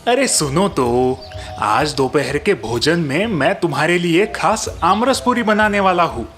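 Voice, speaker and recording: A man's voice: a little high, lively intonation, unhurried, a bit bright, faint music behind. A man voicing a sly, cheerful village uncle in a cartoon story, with a teasing, coaxing manner.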